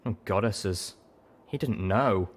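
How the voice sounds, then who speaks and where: A man reading a first-person story aloud as an expressive scripted narration. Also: fairly low pitch, slow.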